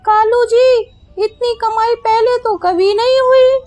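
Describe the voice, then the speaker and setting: A woman's voice: very high-pitched, fairly even intonation, takes it slowly, darkish tone, dry recording, soft background music, voice pushed up. A woman voicing a greedy, bossy female crow in a Hindi children's cartoon, pushy and exclamatory. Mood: greedy delight.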